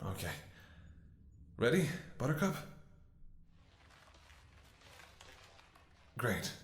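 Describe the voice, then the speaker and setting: A man playing a devoted, desperate doctor caring for his infected lover in an emotional, halting ASMR roleplay, with an echoing sound. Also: mid-range voice, sing-song. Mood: eager, tender. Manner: halting, stammering, emotional delivery. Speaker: voice actor in an audio roleplay. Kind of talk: ASMR dramatic roleplay monologue.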